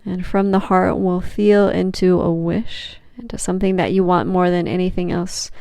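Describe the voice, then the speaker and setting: A woman leading a guided meditation as a yoga nidra teacher, speaking gently and soothingly with long, unhurried pauses. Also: lowish voice, steady tone, gravelly, no room sound.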